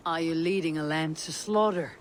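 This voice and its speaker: A woman's voice: low voice, normal speed, a little hiss or hum, natural voice. An actress playing a tough Viking warrior woman, terse and wry, in a video game cutscene.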